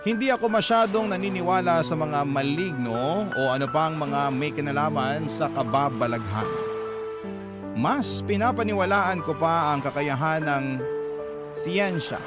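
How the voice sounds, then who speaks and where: A male radio host with a slightly high voice. He tells a listener's story in the first person, dramatically, with loud music running behind him. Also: unhurried. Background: prominent background music.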